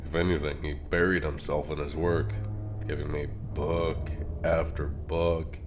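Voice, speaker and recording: An actor playing a bitter, bigoted older male book publisher, giving gruff, grudging answers in an audio drama. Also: rumbling low, little pitch movement, unhurried, noisy background. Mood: grudging, reminiscing.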